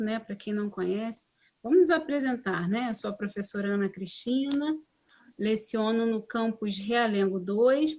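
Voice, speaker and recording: A woman teacher hosting a live class on a video call, speaking formally with frequent tag questions. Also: mid-range voice. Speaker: administration teacher, host of the live. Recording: dull lo-fi stream audio.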